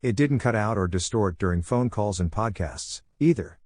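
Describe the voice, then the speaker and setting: Guy reading a scripted review, lowish voice, dry close sound. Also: animated, moderate pace, a little mellow, no room sound. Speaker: product reviewer.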